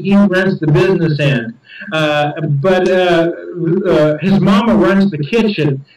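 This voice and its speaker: A man's voice: sits high, darkish tone, reverberant, recorded from a distance, rough recording. A man hosting a casual chat show, rambling with many repeated words and restarts. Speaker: podcast host reviewing beer.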